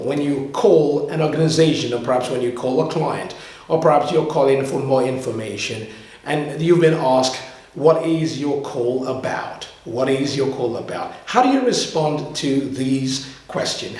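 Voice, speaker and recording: A man's voice: mid-range voice, darkish tone. A man with a mid-range voice presents an English lesson as a teacher hosting a video. He explains in a didactic way, repeating key terms so learners can echo them. The recording is strongly reverberant, as if in a bare, echoey room. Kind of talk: language lesson to camera.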